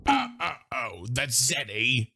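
A man's voice: mid-range voice, huge pitch swings, slow pace, background noise, full character voice. A man voicing a gloating, pompous mad-scientist villain in a theatrical, taunting way for a cartoon comic dub. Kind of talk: fan comic dub acting.

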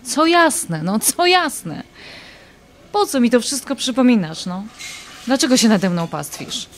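A woman plays an indignant, desperate married woman in an audio drama. Her fairly high voice rises and falls sharply as she defends herself against an ex-lover in exasperation. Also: very animated, unhurried, slight background noise. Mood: exasperated, indignant. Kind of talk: audio drama acting, dialogue.

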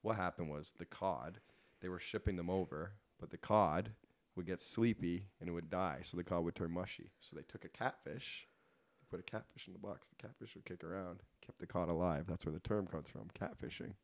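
A man's voice: deep voice, brisk. A Canadian man chatting casually as a podcast guest, rambling and self-deprecating, acting out bits of dialogue as he tells stories. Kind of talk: casual podcast chat with comic storytelling.

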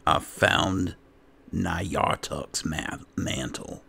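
Male gamer, extremely low and creaky register, slow low-key roleplay delivery. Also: sharp tone. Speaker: gamer playing in character. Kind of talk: casual roleplay game commentary. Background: game music.

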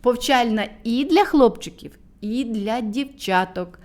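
A woman reading a fairy tale aloud for children, speaking gently and addressing them directly. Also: a little high, expressive, measured pace. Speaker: storyteller for children.

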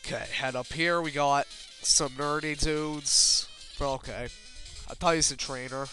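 Bright-voiced man rambling over game music. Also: fairly high pitch, fairly even intonation, measured pace. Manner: rambling, self-deprecating, swears casually. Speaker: let's player. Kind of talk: casual video game commentary. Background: video game music.

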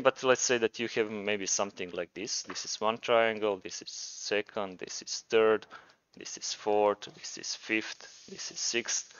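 A man's voice: fairly low pitch, fairly bright tone, clear, resonant tone, dry recording, highs cut off. A man with a Croatian accent presenting a programming tutorial, talking informally and thinking aloud as he demonstrates. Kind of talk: casual screencast tutorial narration.

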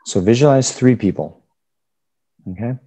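A man leading a guided meditation as a Buddhist teacher, giving unscripted instructions in halting phrases. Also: medium pitch, dark tone, rough recording.

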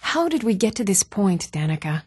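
A townswoman shopkeeper from a fantasy role-playing game. Her voice sits at a middle pitch, with measured, theatrical phrasing and careful enunciation. The recording is dry, with hardly any room sound. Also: big pitch swings, darkish tone, husky, no echo. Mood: troubled, weary. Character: even-toned adult townswoman, shopkeeper in a fantasy world. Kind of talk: scripted video game voice acting.

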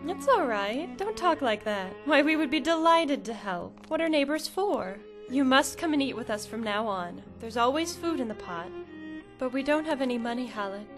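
A kind village wife. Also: female voice, high voice, lively intonation, normal speed, over music. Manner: gentle, warm, reassuring. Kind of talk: dubbed drama acting.

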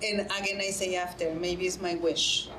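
A woman's voice: fairly low pitch, flat delivery, moderate pace, echoey room. A woman panelist answers at a table mic in a conference room. She talks earnestly and drives points home by repeating them. The room rings a little, with a faint hum behind her. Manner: earnest, repeats phrases for emphasis, many restarts. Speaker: panelist, nonprofit director.

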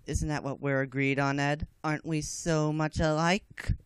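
A man's voice: on the high side, level tone, moderate pace, clear, resonant tone, dry recording, natural voice. A man in an anime fan dub playing a coldly self-justifying alchemist, smug and taunting. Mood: cold, resigned.

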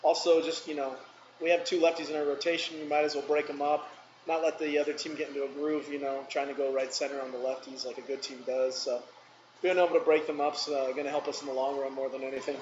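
Fast-talking baseball manager, echoey room. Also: male voice, on the high side, little pitch movement. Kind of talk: press conference answers. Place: press conference room, seated at table. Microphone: table mic. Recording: echoey room, dull noisy sound.

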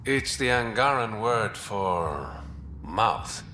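An actor playing a roguish, smooth-talking young smuggler and spy in a video game, wry, teasing and self-assured. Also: male voice, on the low side, expressive, extremely slow, pushed and bright, echoey room, a little hiss or hum, full character voice. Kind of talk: video game character dialogue.

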